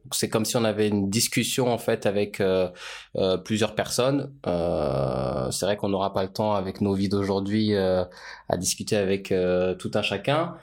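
A man co-hosting a podcast about his brand, explaining things in a calm way with frequent fillers. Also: lowish voice, normal speed. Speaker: podcast co-host, brand founder. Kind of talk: casual conversational podcast.

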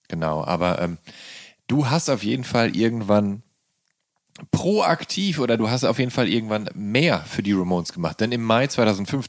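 A man's voice: fairly low pitch, no echo, clean studio sound. A man hosting a conversational interview, phrasing his questions in a wordy, hesitant way. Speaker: interviewer, host.

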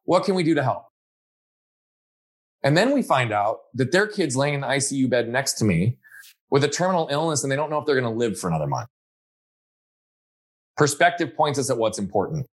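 An earnest man speaking reflectively in a conversational interview. He talks at an average pitch and keeps checking in with the listener. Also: animated, fairly quick, long pauses, husky. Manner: earnest, reflective, frequent "right?" tags. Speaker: guest.

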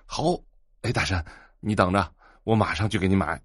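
A fawning, low-voiced shop owner. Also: male voice, moderate pace, breathy edge, dry recording, clean studio sound. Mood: eager, obliging. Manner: excitable, ingratiating, chuckling. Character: eager, fawning balding computer shop owner, 28. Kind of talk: Chinese audio drama acting.

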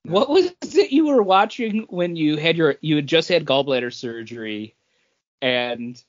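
A man's voice: on the high side, expressive, unhurried. A man chatting casually as a podcast host, telling anecdotes and acting out what people said. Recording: dull, echoey room sound.

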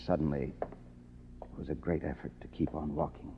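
A man's voice: a bass voice, fairly even intonation, moderate pace. An actor playing a cynical, sardonic younger man, a struggling writer, in 1950s radio drama style. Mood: wry narration. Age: young adult. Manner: sardonic, hard-boiled. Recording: muffled old radio recording, hiss, echoey hall.

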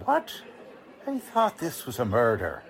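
An actor playing a gruff, bossy police lieutenant with tough-guy slang and brusque wisecracks, in 1940s radio drama style. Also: medium pitch, wildly swooping pitch, measured pace, a faint crowd, heavily acted. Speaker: police detective character.

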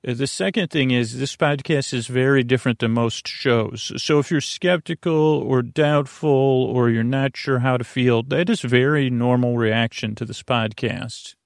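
A man hosting a bedtime podcast in a creaky, soothing voice, meandering hesitantly through tangents and interrupting himself. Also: mid-range voice, unhurried, hardly any pauses, no room sound, clean studio sound. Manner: soothing, lulling. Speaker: podcast host. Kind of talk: rambling bedtime monologue meant to lull to sleep.

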